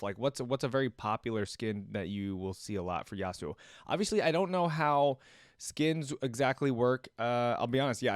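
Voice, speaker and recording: A man with a medium-pitched voice gives casual reaction commentary. He thinks aloud and speaks directly to his viewers in slangy, chatty phrasing. The sound is dry, with little room echo. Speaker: reaction video host.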